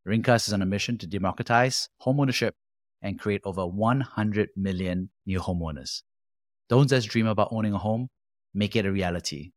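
A man's voice: lowish voice, dry recording, studio quality. Upbeat man hosting a podcast. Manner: upbeat, energetic intro delivery. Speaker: podcast host.

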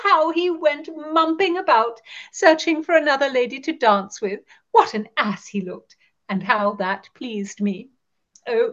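A woman playing a haughty, playful Tudor gentlewoman who speaks in an arch, theatrical courtly manner for a podcast. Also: fairly high pitch, muffled recording. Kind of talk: in-character podcast monologue.

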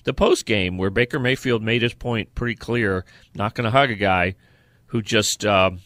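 A man with a lowish, bright and forceful voice talks sports as a podcast co-host. He is blunt and opinionated, speaking conversationally. The sound is dry and close. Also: moderate pace, dry recording.

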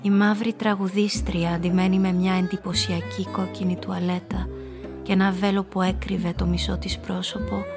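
An actress playing a woman who narrates her own story in measured, atmospheric literary prose for a radio drama. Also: mid-range voice, level tone, music in the background, natural voice. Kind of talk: scripted first-person literary narration.

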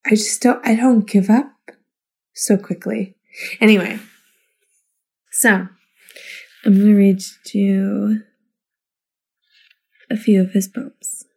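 A woman with a soft-edged, dark voice of medium pitch speaks very slowly. She is reflective and halting, as in intimate personal storytelling or poetry reading. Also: level tone, gravelly, slightly rough. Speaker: listener contributor.

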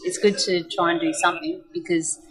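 An older Australian woman from South Australia, chatting casually and telling lively stories with frequent laughs. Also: lowish voice, brisk, a crowd in the background, rough recording. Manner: chatty, laughs often, animated storytelling. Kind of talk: casual chat.

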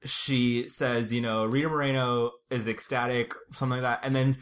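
A man's voice: medium pitch, little pitch movement, normal speed, fairly bright tone. A man hosting a podcast in casual chat, rambling with frequent fillers and restarts. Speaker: podcast host.

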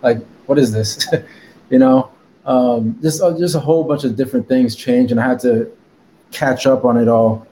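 A man's voice: medium pitch, steady tone, moderate pace, dark tone, slight background noise, low-quality recording. Easygoing American man telling his story. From Connecticut, USA. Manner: rambling with many fillers and laughs. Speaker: guest. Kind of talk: casual interview chat.

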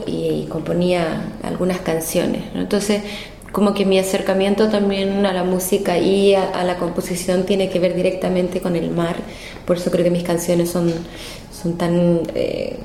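A woman's voice: on the low side, gravelly, mellow, slight background noise. A Chilean woman in her thirties with a Chilean accent, answering interview questions in a hotel room, hesitant, with many fillers and repeated words. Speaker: guest singer. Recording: roomy echo with faint ambience.

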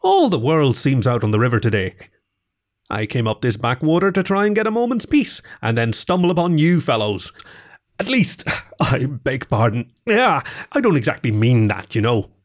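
A man's voice: medium pitch, big pitch swings, moderate pace, natural voice. A man voicing a sociable, blunt male otter from a storybook, jovial and gossipy. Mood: blustery. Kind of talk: storybook character voice.

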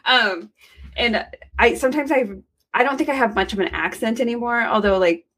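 A woman's voice: fairly high pitch, moderate pace, fairly bright tone. A woman from the Southern US, now living in the north, chatting casually as a podcast guest in a self-deprecating way.

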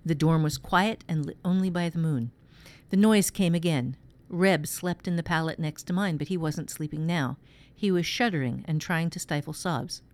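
A woman reading a fantasy story as its steady, protective boy narrator, in measured phrases. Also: deep voice, lightly acted. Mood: tense, hushed. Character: first-person boy narrator, steady, protective dormitory pupil.

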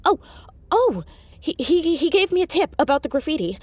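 Teenage girl, earnest, stubborn, halting delivery, naturalistic audio drama, very dry sound. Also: high-pitched, sing-song, no echo. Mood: frustrated, anxious. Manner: hesitant, halting, insistent.

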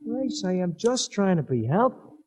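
A brash American sidekick in a 1940s radio detective serial. His rough voice jumps up and down freely as he fires off blunt street slang. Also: high-pitched, very animated, moderate pace, a little mellow, full character voice. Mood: breezy, urging.

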